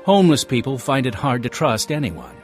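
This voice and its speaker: A man's voice: medium pitch, big pitch swings, fairly quick. A man announcing and narrating a radio drama series in a scripted delivery. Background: music behind the voice.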